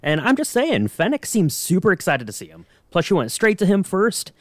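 A man's voice: sits high, sing-song, normal speed, no room sound. A man co-hosting a podcast, chatting casually and playfully in mock-serious fan banter. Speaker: podcast co-host.

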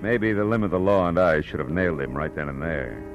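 An actor playing a wry, tough American private detective in hardboiled 1940s radio style, with dry, sardonic delivery. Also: male voice, low voice, lively intonation, a little fast, muffled recording, loud music behind the voice.

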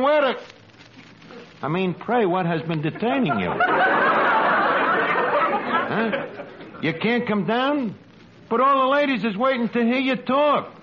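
An actor playing a slangy, exasperated, wisecracking tavern manager in a 1940s radio comedy. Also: male voice, high-pitched. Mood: flustered, falsely polite. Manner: slangy, ungrammatical, sardonic. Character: uneducated, wisecracking, exasperated barman running a tavern. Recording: dull, noisy old radio recording, studio audience.

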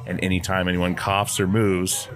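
Deep-voiced man hosting a podcast. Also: normal speed, crowd noise. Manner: relaxed, rambling with many fillers. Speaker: podcast host. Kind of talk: casual interview chat. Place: old house basement.

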